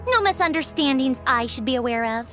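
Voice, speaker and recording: A woman's voice: sits high, animated, a little fast, pushed and bright. An actress voicing a poised, sardonic female Fatui Harbinger in a video game, cool and composed, with faint music behind.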